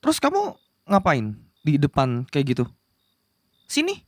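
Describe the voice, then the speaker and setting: Man voicing a young demon prince, soft-hearted tsundere, possessive and easily flustered, ASMR roleplay, close dry sound. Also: on the high side, huge pitch swings, measured pace, no echo, heavily acted. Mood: flustered, bossy. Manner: stammering, flustered denials, possessive commands. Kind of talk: ASMR romantic roleplay acting.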